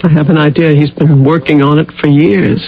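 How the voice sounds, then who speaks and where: An actress playing a dazed, haunted woman pilot after a crash in a radio drama, with halting delivery. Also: sits low, fairly even intonation. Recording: tinny old radio broadcast.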